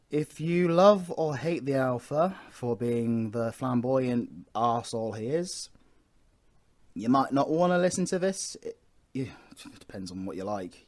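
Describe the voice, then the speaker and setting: A man's voice: medium pitch, darkish tone. A British man speaking informally and earnestly to his listeners in an unscripted introduction, as the creator of an audio roleplay.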